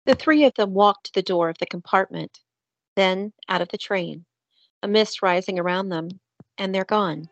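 A woman's voice: mid-range voice, normal speed, rough recording. A woman reading scripted narration as the storyteller of an audio drama.

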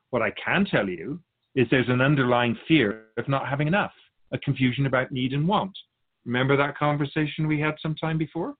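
A man playing a patient, lofty spirit guide who teaches in measured, formal phrases in a scripted audio drama. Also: medium pitch, phone-quality sound, close to an everyday voice. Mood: measured, explanatory. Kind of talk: scripted dialogue in a fiction podcast.

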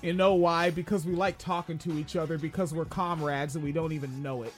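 A man with a noticeably high-pitched voice rambles through a casual live-stream chat, cracking jokes and swearing freely. He talks loosely as a podcast co-host, with faint music under him. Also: steady tone, a little fast.

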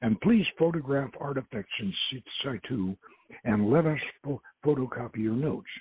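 A man's voice: medium pitch, lively intonation, moderate pace, lightly acted. A man reading the part of a courteous, helpful woman museum guide in an adventure novel, with polite formality. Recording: muffled narrowband radio-like audio.

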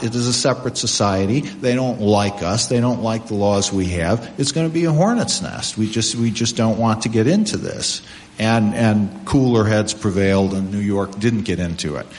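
A man's fairly low voice rings in a reverberant hall. He speaks as a panelist, explaining without a script in a conversational, halting manner and often repeating words. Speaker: panelist taking audience questions. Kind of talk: unscripted explanation at a public panel.

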